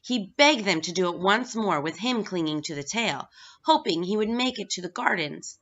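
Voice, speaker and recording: A woman's voice: mid-range voice, animated, moderate pace, a bit bright. A woman reading a children's story aloud as scripted narration. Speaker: staff reader at a school. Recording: dull, lo-fi recording.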